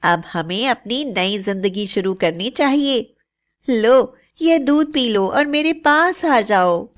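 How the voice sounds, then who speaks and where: A woman as a scheming young bride, high-pitched. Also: lively intonation, slow. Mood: coaxing, sweet. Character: young bride, sweet-talking, scheming, scornful. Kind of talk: melodramatic cartoon story acting.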